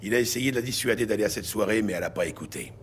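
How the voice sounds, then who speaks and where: An actor playing a tough, blunt New York police detective in a French audio drama, pressing and insistent. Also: male voice, lowish voice, racing, slight background noise, natural voice. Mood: explanatory. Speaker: police detective interrogating suspects. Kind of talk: dramatic audio series acting.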